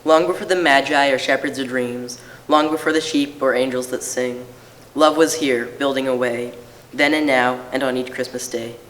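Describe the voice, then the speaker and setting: A man's voice: mid-range voice, steady tone, normal speed, fairly bright tone, a little hiss or hum. A man giving a scripted poetic reading at a church service, heard with long reverb. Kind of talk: scripted poetic reading, shared among readers.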